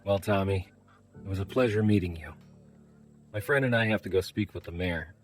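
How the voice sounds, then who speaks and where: A man's voice: sits low, moderate pace, soft background music, lightly acted. A man playing a modest, earnest android adventurer in an audio drama, speaking plainly and a little hesitantly. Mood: friendly, modest.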